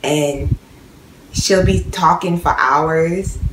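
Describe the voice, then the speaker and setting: A woman's voice: low voice, extremely slow, reverberant, noisy background. Young woman, chatty New York vlogger. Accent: New York with a slight Guyanese tinge. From New York, of Guyanese family. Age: young adult. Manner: chatty, rambling, lots of "like" and "um". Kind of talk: casual chat to camera. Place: small bedroom at home.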